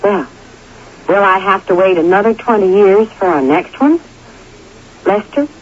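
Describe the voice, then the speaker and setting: An actress playing a gentle, thoughtful middle-aged old sweetheart in a radio drama. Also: female voice, medium pitch, measured pace, lightly acted. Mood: warm, teasing. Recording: dull, noisy old radio broadcast.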